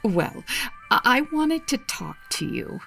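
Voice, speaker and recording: A woman's voice: on the high side, big pitch swings, takes it slowly, vocal fry, a bit bright, faint music behind, heavily acted. A woman playing a genteel, earnest old lady, polite and confiding, in a comedy audio drama.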